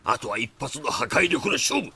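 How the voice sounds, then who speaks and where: An actor playing a stern, gruff older male boxing coach in an anime, barking orders in clipped old-man speech. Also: medium pitch, wildly swooping pitch, normal speed, background noise. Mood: lecturing. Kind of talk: anime dub acting. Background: background music.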